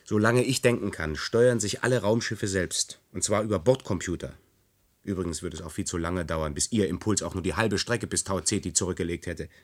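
A man's voice: deep voice, brisk. An actor playing a sceptical younger trainee in a radio play, questioning and challenging in his manner. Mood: probing, challenging. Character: sceptical, probing younger male trainee worker.

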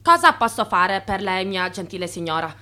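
An actress playing a polite, deferential office assistant in a radio drama, easily flustered. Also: female voice, medium pitch, sing-song, moderate pace, pushed and bright.

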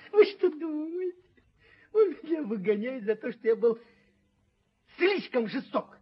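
An actor playing a servile, bitter sacked rent collector in a radio play, pleading and justifying himself emphatically in a husky, raspy voice. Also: male voice, very high-pitched, big pitch swings, unhurried, full character voice. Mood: tearful indignation. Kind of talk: theatrical radio play acting. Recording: muffled 1970s radio play recording.

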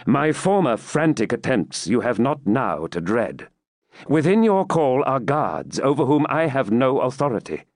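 A man's voice: medium pitch, sing-song, unhurried, darkish tone, no echo, clean studio sound, pitched above the performer's own voice. A man voicing a proud, brooding medieval knight in an audiobook, with grave, formal, archaic declamation. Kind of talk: dramatised audiobook reading.

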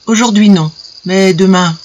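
A narrator voicing a calm, quietly firm wife in a story, answering simply and without any fluster. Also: mid-range voice, a little slow, highs cut off, natural voice. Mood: gently firm. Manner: simple, unruffled, matter-of-fact answers.

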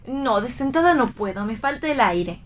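An actress playing a nervy adult daughter who performs on stage, in a radio play reading. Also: female voice, a little high, animated, normal speed, natural voice. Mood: fretful. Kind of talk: radio read theatre dialogue.

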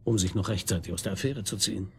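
An actor in a German radio drama playing a wry, sardonic chief detective who questions in a colloquial, insinuating way. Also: male voice, lowish voice, fast, breathy edge. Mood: needling. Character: wry, probing, needling male chief police detective.

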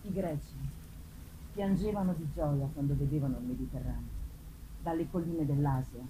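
A woman's voice: deep voice, normal speed, pitched below the performer's own voice, lightly acted. A woman reading a gothic novel theatrically as an anguished man bent on revenge, in a solemn manner, on a noisy live recording. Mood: grim, determined. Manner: solemn, theatrical, swinging from hushed to declamatory. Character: anguished male avenger narrating his tragic hunt.

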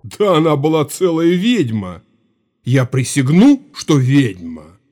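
An actor playing a tipsy, talkative and superstitious Cossack servant, insistent and emphatic, in an audio drama. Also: male voice, a little high, very animated, takes it slowly, a little mellow. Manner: swearing emphatically, garrulous. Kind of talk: Russian audio drama dialogue.

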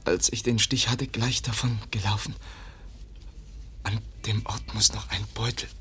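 A man's voice: fairly low pitch, fairly even intonation, measured pace, bright, forceful tone, a little hiss or hum. An actor playing a stabbed young man in a radio play, weak and whispering in broken, clipped sentences. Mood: weak, faltering. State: weak from a stab wound, breathy whisper. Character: wounded young man Kaspar, weak, halting.

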